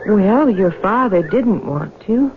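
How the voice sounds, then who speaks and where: An actress playing a firm, loyal young farm wife with an American accent, earnest and guarded, in a 1970s radio drama. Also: female voice, mid-range voice, animated, slow pace. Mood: earnest, polite. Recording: tinny, noisy 1970s radio broadcast.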